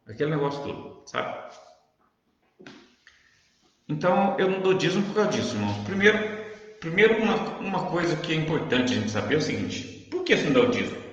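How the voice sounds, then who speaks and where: A man with a São Paulo Brazilian accent teaching the Bible informally, conversational and didactic, often checking that the listener follows. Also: mid-range voice. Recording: very echoey reverberant room, dull sound.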